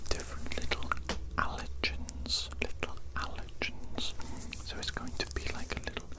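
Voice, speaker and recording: A woman playing a fussy, politely sinister evil doctor in an ASMR roleplay, speaking in a breathy whisper with slow, deliberate repetition. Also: lightly acted, low-quality recording. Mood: methodical, musing. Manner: slow, deliberate, repeats phrases.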